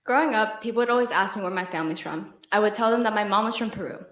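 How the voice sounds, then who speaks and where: A woman's voice: mid-range voice, rapid-fire, echoey room. A young woman giving a prepared, earnest speech to an audience, with occasional restarts. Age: young adult. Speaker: student presenter.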